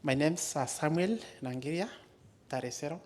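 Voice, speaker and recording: Male conference speaker, East African, native Maa speaker, fairly high voice, deliberate storytelling delivery with emphasis. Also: darkish tone. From Maasai areas of Kenya and Tanzania.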